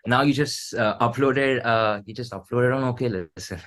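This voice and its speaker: A man's voice: medium pitch, normal speed, fairly bright tone. A man with an Indian English accent chatting casually as a band musician being interviewed. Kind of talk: casual chat.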